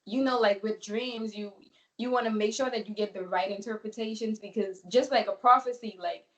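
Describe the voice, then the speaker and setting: A woman's voice: medium pitch, steady tone, moderate pace, dull audio. A woman from the Bahamas giving a casual, chatty testimony as a guest, with plenty of fillers. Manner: chatty, lots of fillers like "you know".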